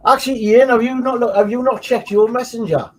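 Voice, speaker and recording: A man's voice: very high voice, fairly even intonation, a little fast. A man with a Geordie accent chatting casually as a panellist on a live stream. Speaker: show regular and panellist. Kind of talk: casual chat.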